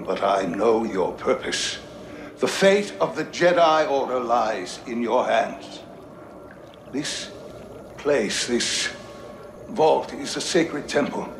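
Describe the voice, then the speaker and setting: An actor playing a wise, solemn old Jedi master in a game's recorded message, speaking in a stately, deliberate way. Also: male voice, medium pitch, slight background noise. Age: older. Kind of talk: game cutscene acting, solemn monologue. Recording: echoing chamber, clear background ambience.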